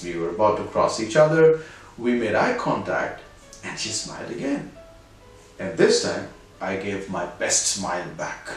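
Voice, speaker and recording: Middle-aged Indian English male storyteller, lively voice, recorded with a lot of echo. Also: sits low. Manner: animated, conversational, moral at the end. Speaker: storyteller host. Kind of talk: personal anecdote storytelling. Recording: very echoey room, distant mic, faint music.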